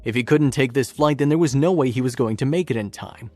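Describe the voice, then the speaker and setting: A man's voice: medium pitch, quick pace, a little mellow. A man reading scripted, documentary-style story narration as a narrator. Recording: music bed under narration. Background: background music.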